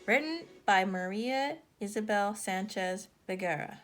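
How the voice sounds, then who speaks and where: A woman narrating a children's picture book, reading the scripted text in measured phrases with deliberate pauses. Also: mid-range voice, lively intonation.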